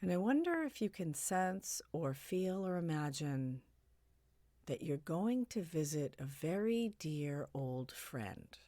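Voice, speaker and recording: Low, slow-paced woman guiding meditation. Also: big pitch swings, no room sound. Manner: soothing, gently suggestive, phrases broken by long pauses. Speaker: meditation guide, hypnotherapist. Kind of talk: guided meditation narration.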